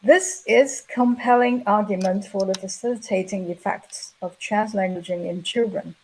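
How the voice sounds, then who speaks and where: A middle-aged woman giving a measured, explanatory plenary lecture at an academic conference. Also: medium pitch, a little mellow, slight background noise, poor audio. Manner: measured, explanatory, reading prepared remarks. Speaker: plenary speaker.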